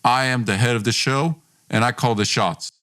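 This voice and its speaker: A man acts out a domineering young man in a podcast story. He talks in a bossy, scornful way, full of his own importance and quick to belittle. The voice sits at an ordinary male pitch. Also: a bit bright, lightly acted. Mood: bossy, boastful. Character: domineering, boastful, scornful young man, a former best friend. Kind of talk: acted dialogue in a storytelling podcast.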